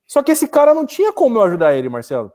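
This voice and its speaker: A Brazilian man with a Brazilian Portuguese accent speaks in a high, quick voice, carrying a casual live discussion with animated stories. He talks from his office over a video call and keeps calling his listener "cara". The delivery is fast and full of momentum. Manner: animated storytelling, often says "cara". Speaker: business owner and co-host.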